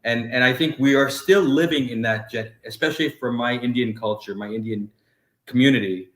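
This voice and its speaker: This is a middle-aged man with a New York accent, earnest and emotional, who sometimes rushes his phrases. He has an ordinary male pitch, and his voice echoes around the room he is calling from. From Bronx, New York. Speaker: guest. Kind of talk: interview conversation. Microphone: video call.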